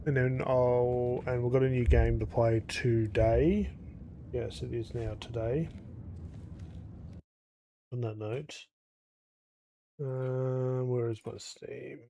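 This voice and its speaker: A man's voice: medium pitch, steady tone, slow pace, creaky, pauses often, dark tone. A tired, congested man chatting casually on a livestream, rambling and thinking aloud as he plays. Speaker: game streamer. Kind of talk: casual livestream chat over gameplay.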